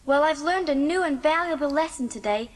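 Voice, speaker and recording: A woman's voice: sits high, fairly quick, no room sound, natural voice. A woman playing a fiery, dreamy young girl with earnest, wordy phrasing in a dramatised audiobook. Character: fiery, dreamy, earnest eleven-year-old orphan girl.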